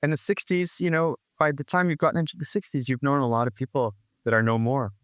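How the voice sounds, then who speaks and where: A man in his sixties talks reflectively as an interview guest, circling back with frequent restarts and fillers. His voice sits at an ordinary middle pitch. The sound is dry and close, with no room around it. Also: steady tone, fast talker, fairly bright tone, no room sound. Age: 60s. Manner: rambling, full of restarts and "you know, like". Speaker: guest musician.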